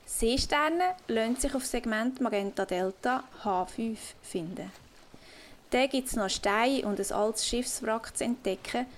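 Audio-play pirate note reader, acted by a woman: highish voice, hearty and deliberate, over noisy ambience. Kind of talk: scripted message in an improvised audio play.

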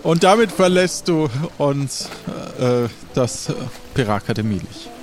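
A man narrating an improvised audio drama as game master, in a measured storytelling way. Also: medium pitch, expressive, faint music behind.

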